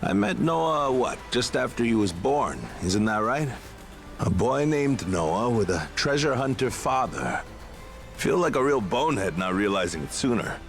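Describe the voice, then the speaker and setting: An actor playing a genial, nostalgic middle-aged treasure hunter turned pirate in a video game, with a folksy, wry, measured way of talking. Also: male voice, medium pitch, very animated, faint music behind. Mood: warm, coaxing. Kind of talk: dramatic video game voice acting.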